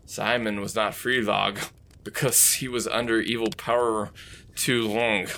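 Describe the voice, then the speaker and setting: Man acting as earnest storybook narrator, low creaky voice, stilted stumbling read, faint noise. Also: little pitch movement, unhurried, sharp tone, exaggerated voice. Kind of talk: dramatic reading of amateur fiction.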